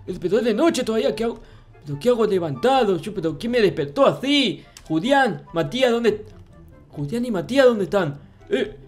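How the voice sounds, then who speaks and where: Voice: mid-range voice, close to an everyday voice. A performer voicing a naive, easily frightened childlike boy in a Minecraft roleplay, whiny and stammering as words repeat. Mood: puzzled. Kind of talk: improvised in-character gaming roleplay.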